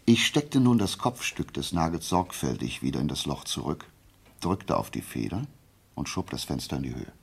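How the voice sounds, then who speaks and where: Gravelly, very low-voiced detective. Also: male voice, sing-song, full character voice. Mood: measured, precise. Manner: deliberate, didactic, checking in with short questions. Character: shrewd amateur detective methodically explaining a murder case. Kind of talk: radio play acting, conversational deduction.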